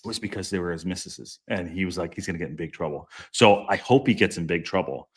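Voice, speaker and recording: Man with a deep, strong voice, low pitch, loose rambling host who chats with his live audience. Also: fast, slightly rough. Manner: rambling, chatty, reads out viewer comments. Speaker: podcast host. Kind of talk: casual live-stream chat with viewers.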